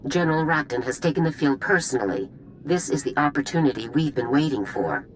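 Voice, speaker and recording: An actress playing a seasoned female army general in a video game, with an authoritative, formal and measured manner. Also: low voice, lively intonation, a little hiss or hum, low-quality recording. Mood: commanding, satisfied.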